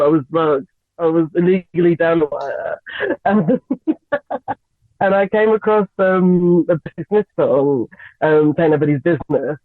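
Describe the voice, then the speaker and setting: A young woman from Lagos and London, a singer, chatting as an interview guest with frequent giggles and lots of halting fillers. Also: fairly low pitch, no echo. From Nigeria and England. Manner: giggly, hesitant with many fillers. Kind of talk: radio interview, casual chat. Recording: tinny phone-line sound, dry.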